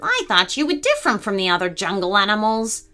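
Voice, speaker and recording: A woman's voice: medium pitch, very animated, fairly quick, pushed and bright, faint music behind. A woman voicing a cheeky, eager, talkative male parrot in a children's story. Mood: chiding. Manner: gabby, teasing, exclamatory.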